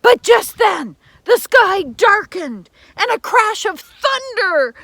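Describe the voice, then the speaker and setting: Very high-voiced older woman storyteller, theatrical. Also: big pitch swings, vocal fry, a bit bright. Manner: theatrical, emphatic, drawn-out adjectives. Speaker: teacher reading stories to children. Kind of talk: dramatic children's storytelling with asides.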